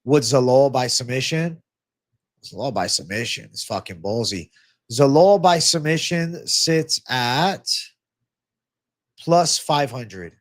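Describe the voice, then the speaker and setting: A man with a mid-pitched voice that sounds a bit clogged from a stuffy nose hosts a casual livestream. He talks in a loose, slangy way, drops the odd curse and calls his viewers bro as he answers their comments. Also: slow. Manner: laid-back, slangy, swears freely, calls viewers bro. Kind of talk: casual livestream Q&A on fight betting.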